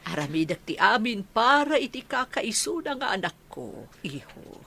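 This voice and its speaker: A woman's voice: on the high side, sing-song, normal speed, gravelly, bright, forceful tone, breathy edge, heavily acted. A woman playing a middle-aged, doting and scheming mother in an Ilocano radio drama.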